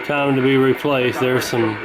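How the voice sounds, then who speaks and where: A man casually narrating his repair of an old radio, relaxed and rambling, with plenty of ums and uhs. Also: mid-range voice, measured pace, low-quality recording. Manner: relaxed, rambling, many fillers.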